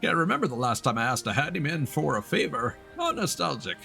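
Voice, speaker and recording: A man's voice: on the high side, big pitch swings, fairly quick, vocal fry, bright, forceful tone, exaggerated voice. A man voicing chatty, dramatic townsfolk from a fantasy video game, reading their dialogue aloud with exaggerated delivery. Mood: nostalgic, curious. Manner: theatrical, exaggerated. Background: video game music.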